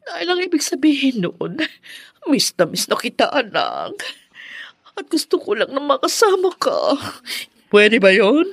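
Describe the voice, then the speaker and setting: A woman's voice: sits high, sing-song, measured pace, creaky, breathy edge, heavily acted. A woman playing a clingy, sulky older Filipino mother in a radio drama, pleading and guilt-tripping theatrically.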